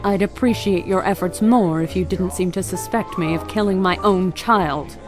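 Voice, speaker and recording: A woman's voice: fairly low pitch, moderate pace, natural voice. A woman playing a cold, haughty bereaved mother in a radio play, formal and stern, with music behind her. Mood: cold, warning.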